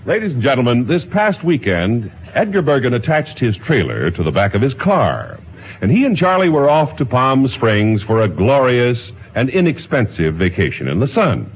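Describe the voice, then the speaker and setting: A man's voice: mid-range voice, big pitch swings, moderate pace, slightly rough. A man announcing a 1950s radio show in an emphatic, showy style. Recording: muffled 1950s radio recording. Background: loud music.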